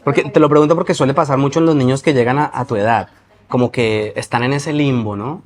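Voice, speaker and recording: A man's voice: medium pitch, quick pace. A man with a Latin American Spanish accent hosting an interview, asking probing questions and thinking aloud with frequent restarts. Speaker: interviewer and podcast host. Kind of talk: conversational interview.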